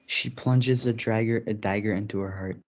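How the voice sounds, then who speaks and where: A man's voice: on the low side, steady tone, normal speed. A male student reading scripted narration for a school reader's theatre play, sometimes stumbling over words. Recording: dull lo-fi audio.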